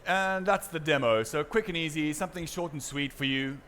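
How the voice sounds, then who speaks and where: South African male presenter, conference stage, relaxed, filler-heavy delivery, faint hiss behind. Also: a little high, brisk. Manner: casual and chatty, many fillers and restarts. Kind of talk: conference seminar talk with audience questions.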